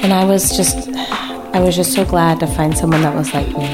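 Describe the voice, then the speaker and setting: A woman with an American accent playing a lonely, clingy vampire in improvised radio theater, halting and earnest. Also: fairly low pitch, steady tone, loud music behind the voice, close to an everyday voice. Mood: wistful. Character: lonely, clingy, apologetic sun-shunning vampire.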